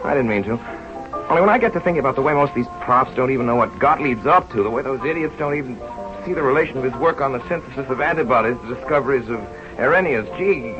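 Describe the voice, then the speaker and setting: An actor playing an earnest, intense young medical student with a brisk, excitable manner in an old-time radio drama. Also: male voice, mid-range voice, lightly acted. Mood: fervent, loud. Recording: muffled vintage radio broadcast recording. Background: music.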